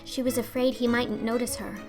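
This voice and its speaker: An earnest, imaginative orphan girl acted by a woman pours out her thoughts in a storybook reading. The voice is high and effusive, rambling along dreamily, with music playing underneath. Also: level tone, fairly quick, lightly acted. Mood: wistful, imaginative. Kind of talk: audiobook character acting.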